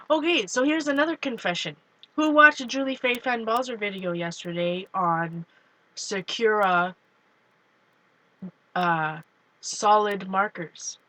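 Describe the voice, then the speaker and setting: A woman's voice: mid-range voice, pushed and bright, rough recording. A woman with a Canadian accent tinged by Minnesota, chatting in a rambling way to viewers as she flips through her art journals from her couch. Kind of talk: casual chat while flipping through art journals. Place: home, on a couch.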